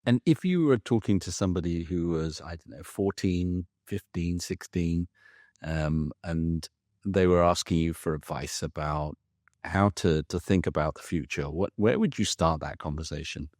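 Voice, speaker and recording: Very deep-voiced male podcast host. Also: moderate pace, darkish tone, no echo, clean studio sound. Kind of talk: interview questions.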